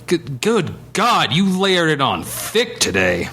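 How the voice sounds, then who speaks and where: A man's voice: on the high side, huge pitch swings, slow, vocal fry, pushed and bright, soft background music. A man co-hosting a podcast in casual banter, with a wry, teasing manner. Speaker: podcast co-host.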